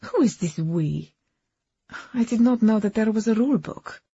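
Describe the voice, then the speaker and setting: A woman voicing a proud, softly steely young wife and hostess in an audiobook, with a soft Eastern European accent. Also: on the high side, lively intonation, moderate pace, husky, no room sound, muffled recording, voice pushed up, full character voice. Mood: coolly defiant.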